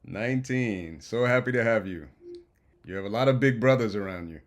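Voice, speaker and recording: A man with a fairly low voice leads a video-call class. He greets each student warmly and laughs often as he teases them. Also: normal speed. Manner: warm, laughing, teasing the students. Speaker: program instructor. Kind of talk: online class introductions.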